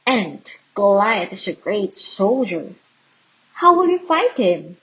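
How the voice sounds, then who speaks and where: A woman's voice: mid-range voice, very animated, takes it slowly, fairly bright tone, muffled recording. A woman voicing a doubtful, dismissive king in a children's storybook, with an incredulous, questioning manner.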